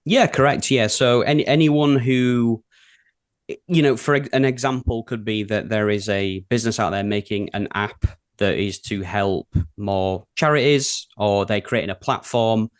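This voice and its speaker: A man's voice: fairly low pitch, normal speed. A man with a broad Yorkshire accent, a creative director chatting easily as a guest in an interview, with plenty of ums. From England. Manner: easygoing, chatty, with frequent ums. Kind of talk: casual interview conversation.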